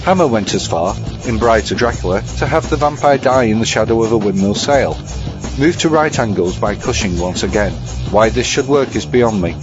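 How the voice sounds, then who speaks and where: A man's voice: on the low side, lively intonation, normal speed. A man presenting scripted commentary as a podcast segment host, in a dry, bookish manner. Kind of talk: scripted film and book commentary. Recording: slightly dull sound over music.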